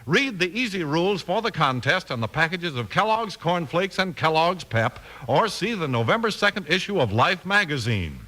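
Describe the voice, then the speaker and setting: A man's voice: a little high, sing-song, normal speed, hardly any pauses, sharp tone. An American man reading a scripted 1950s radio advertisement as an announcer, with emphatic, polished delivery.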